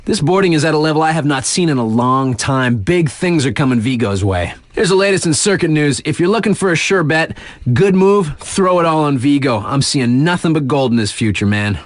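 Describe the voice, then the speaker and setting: A man's voice: a little high, lively intonation, a little fast, dry recording, studio quality, close to an everyday voice. An actor playing a laid-back, hyped young male radio DJ in a video game, with slangy, casual, emphatic patter. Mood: hyped, admiring.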